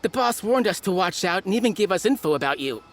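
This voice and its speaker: A woman's voice: mid-range voice, animated, fast, slight background noise, close to an everyday voice. A woman playing a blunt but nervous street dealer of illicit goods in a video game. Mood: pleading. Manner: blunt, then jittery and pleading.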